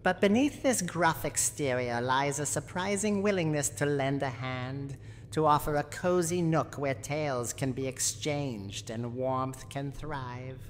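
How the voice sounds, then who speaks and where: A woman voicing a gruff, teasing old granny with a secretly tender side, in a theatrical roleplay ASMR monologue. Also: rumbling low, unhurried. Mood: gruffly confiding. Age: older. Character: gruff, teasing tsundere granny guarding her haunted house. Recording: long reverb, clear background noise.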